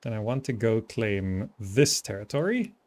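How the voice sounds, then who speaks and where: A man's voice: on the low side, big pitch swings, moderate pace, darkish tone. A man giving casual live commentary as he streams a strategy game, thinking aloud as he plays. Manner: thinking aloud while playing.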